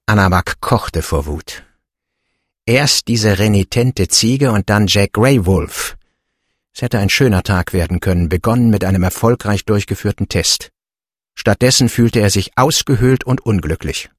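A man's voice: lowish voice, measured pace, no echo, clean studio sound. A man narrating an audiobook in a scripted storytelling style.

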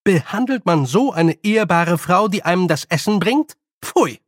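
A man voicing a brisk, bossy, good-humoured old woman witch with a loud, teasing and scolding manner, in an audiobook. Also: high voice, sing-song, dry recording, clean studio sound, pitched above the performer's own voice, full character voice. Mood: stern scolding. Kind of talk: audiobook character voice.

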